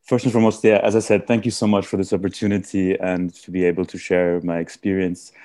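A man from India, raised in Austria, answering podcast interview questions in a halting way with many fillers and restarts. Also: deep voice, little pitch movement, warm tone, no echo. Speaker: guest.

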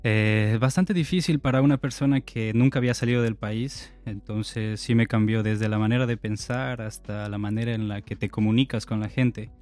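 A man in his twenties from Ecuador, a podcast guest calmly telling his story in casual chat. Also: fairly low pitch, normal speed, few pauses, soft background music. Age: 20s. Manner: calm, reflective storytelling.

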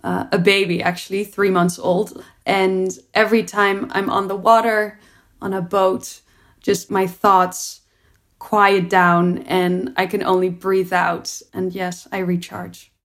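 A young woman speaks about her work in an earnest, reflective way. Her voice sits in a middle pitch, and she often repeats a word as she restarts a thought. She sounds like a guest answering interview questions. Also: flat delivery, slow pace, fairly bright tone. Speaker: producer and entrepreneur.

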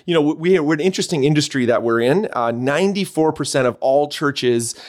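A man's voice: fairly high pitch, expressive, brisk, darkish tone. A middle-aged man with a Canadian accent, chatting as a podcast guest in an upbeat way, full of fillers and restarts. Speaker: podcast guest, church consultant. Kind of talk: casual interview chat.

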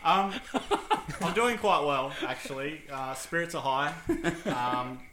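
A young man with a bright, crisp voice chats easily, pausing with ums. The room rings noticeably around him, and a faint noise floor runs underneath. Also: on the high side, roomy sound. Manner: easygoing, hesitant with ums. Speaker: podcast co-host. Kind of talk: casual chat.

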